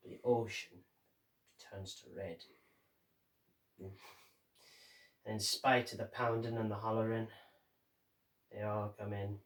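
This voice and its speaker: A man playing a grim, haunted sailor who survived a sinking, reciting a dramatic monologue in halting, measured phrases with deliberate pauses. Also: on the low side. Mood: ominous. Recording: roomy echo, distant microphone.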